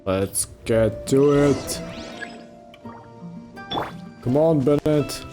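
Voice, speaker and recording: A man's voice: medium pitch, drawn-out words. A man giving casual let's play commentary in short, murmured reactions to a game. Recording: game music behind the voice.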